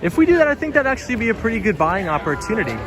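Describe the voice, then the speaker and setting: Guy streaming while walking city streets at night, bit tired voice, highish pitch, music going behind. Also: big pitch swings, racing. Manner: friendly, reads out viewer comments. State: voice slightly tired. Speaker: livestream host reading chat. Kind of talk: casual live-stream chat while walking.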